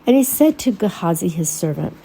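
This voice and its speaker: A woman teaching a Bible lesson in a reflective, measured way, pausing between short phrases. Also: fairly low pitch, sing-song, gravelly, mellow, husky, low-quality recording. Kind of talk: Bible lesson with scripture reading.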